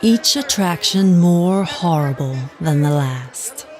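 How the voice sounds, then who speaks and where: An actress playing a resentful, well-to-do wife, narrating formally and dramatically in an audio drama. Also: female voice, lowish voice, animated, slow pace, over music. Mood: bitter, ominous. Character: resentful, well-to-do wife recounting her confession.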